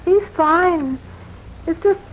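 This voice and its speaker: An actress playing an anxious, devoted but unhappy young wife in a 1940s radio drama, on a hissy recording. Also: female voice, very high-pitched, very slow, narrow, tinny audio, full character voice. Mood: downcast.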